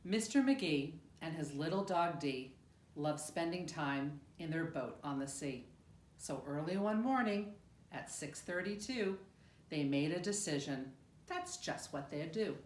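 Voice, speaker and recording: A woman reading a rhyming picture book aloud in an expressive, measured storytelling manner with deliberate pauses. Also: low-pitched, a little hiss or hum. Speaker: storybook reader. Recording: roomy, echoing room sound.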